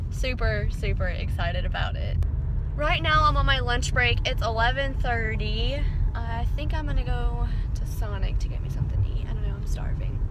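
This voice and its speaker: Bubbly young woman vlogging in car. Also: on the high side. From Texas. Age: young adult. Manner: bubbly, rambling, lots of "like" fillers. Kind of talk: casual chatty vlog. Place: parked and driving car. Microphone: phone camera held at arm's length. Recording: phone audio with car and street noise.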